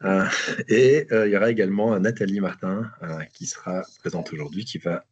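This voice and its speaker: A man's voice: low voice, fairly quick, a little mellow, dull audio, a little hiss or hum. A man with a Belgian French accent presenting a webinar over a video call, hesitant with many restarts. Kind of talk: live online presentation.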